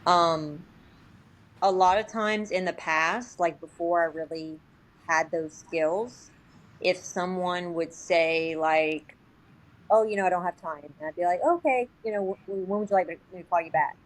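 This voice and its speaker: A woman guesting on a podcast, talking informally with lots of "like" and quick quoted examples. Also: on the low side, poor audio. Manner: informal, heavy use of "like", acts out short quotes. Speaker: sales agent, podcast guest. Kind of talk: casual podcast interview.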